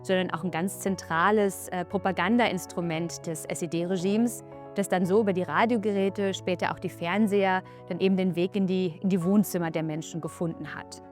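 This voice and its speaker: A woman's voice: lowish voice, steady tone, normal speed, a little mellow, soft background music. A woman explaining objects in a museum collection in an informal, explanatory way, stressing key words as she goes.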